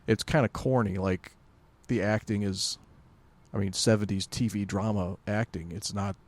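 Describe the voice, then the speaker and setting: A man co-hosting a podcast in casual pop-culture chat, halting and rambling as he corrects himself. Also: on the low side, steady tone, a little mellow. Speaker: podcast co-host.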